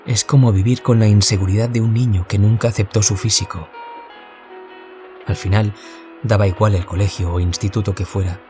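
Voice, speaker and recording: Deep-voiced, wounded young man, played by an actor. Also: fairly even intonation, a little fast, close to an everyday voice. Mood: pained, reflective. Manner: reflective, confiding. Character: troubled young man, former bullying victim, wistful writer. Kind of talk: introspective audio drama monologue. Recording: loud music behind. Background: music.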